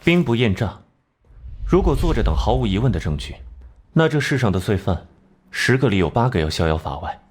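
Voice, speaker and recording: An actor playing a cool, sharp-witted detective in an animated crime drama, composed and articulate as he explains his reasoning. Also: on the low side, a little fast, slightly rough. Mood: unrepentant, matter-of-fact.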